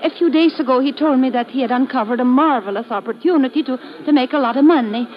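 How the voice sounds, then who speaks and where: A dull old radio recording carries an actress as a nervous young woman. Her voice is high, her phrasing hesitant and careful. She sounds evasive, in the manner of a radio femme fatale. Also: fast, close to an everyday voice. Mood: reluctant, confessing. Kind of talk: old-time radio drama acting.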